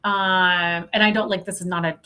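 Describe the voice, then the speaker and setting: A woman with a slightly low voice for her sex, chatting as a podcast guest. She is candid and opinionated, leaning on key words for emphasis in an informal, conversational way. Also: fairly even intonation, moderate pace, bright, forceful tone, smooth. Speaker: podcast guest, sales professional. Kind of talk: casual interview chat.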